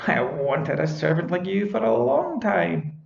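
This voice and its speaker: A cruel green-eyed witch screeches her threats, high and loud, as a man reading a storybook puts her on. Her voice is shrill and spiteful. The recording is dull and echoey. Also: big pitch swings, unhurried, creaky, full character voice. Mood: gloating.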